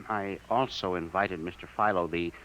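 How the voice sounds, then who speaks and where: A man's voice: low voice, measured pace, a bit bright. An actor playing a nervous, stammering young male assistant who is deferential to his boss, in 1950s radio drama style. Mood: anxious. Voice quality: stammering, jittery. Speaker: junior employee. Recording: muffled old radio broadcast with faint hiss.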